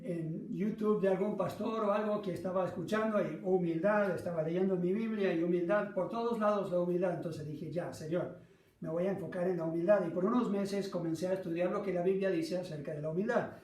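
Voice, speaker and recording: A man's voice: high voice, steady tone, brisk, a little mellow. A middle-aged man with a Latin American Spanish accent preaching through personal stories in an earnest, self-deprecating manner. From Argentina, raised by North American parents. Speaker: pastor. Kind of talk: sermon with personal anecdote. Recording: roomy echo, distant microphone.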